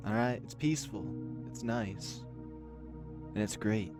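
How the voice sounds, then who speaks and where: A man's voice: lowish voice, a little slow, over music. A man hosting a visual novel read-along, talking casually and rambling, often cutting into his own thoughts. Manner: rambling, self-interrupting. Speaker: host reading a visual novel aloud. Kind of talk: casual gameplay commentary and narration.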